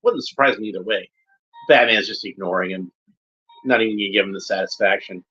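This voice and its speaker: A man's voice: on the low side, fairly quick, bright, forceful tone, breathy edge, dull audio. A man giving casual running commentary while watching an episode, chatty and fond, pointing out details as they come up.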